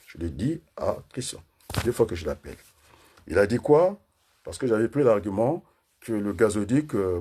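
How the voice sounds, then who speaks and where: A man's voice: on the low side, dark tone, slightly rough. A man from Congo giving a combative political monologue, hesitating often with fillers. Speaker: political commentator.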